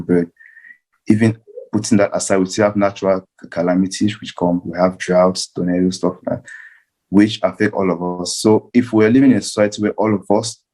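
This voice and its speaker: A man gives an earnest, unscripted talk as a panel guest. His voice is very low and soft-edged, with a dark, relaxed tone, and he tends to restate his phrases as he goes. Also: poor audio. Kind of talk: extemporaneous advocacy talk.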